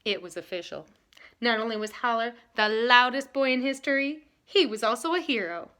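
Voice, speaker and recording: A woman reading aloud to children in an expressive style, leaning dramatically on key words. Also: a little high, moderate pace. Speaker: storybook reader.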